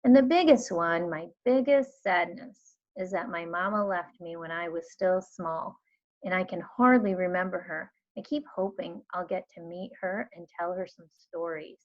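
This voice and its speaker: A woman's voice: lowish voice, a little slow, natural voice. A woman reading the part of an earnest, lonely young girl in a storybook, polite and frank. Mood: sad, confiding. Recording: dull lo-fi recording.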